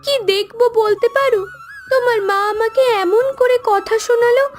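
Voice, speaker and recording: A woman voicing a gentle young daughter-in-law in a cartoon, pleading and easily hurt. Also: very high voice, steady tone, moderate pace. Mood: tearful, hurt. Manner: plaintive, pleading. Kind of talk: Bengali cartoon dialogue acting. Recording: background music under voice.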